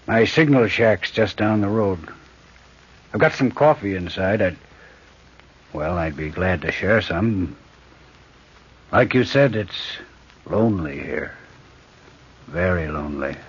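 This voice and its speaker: An actor playing a lonely, tormented railway signalman in an old radio horror drama, with a halting, intense delivery. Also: low voice, lively intonation, husky, heavily acted. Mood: guarded, lonely. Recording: muffled, noisy old radio recording.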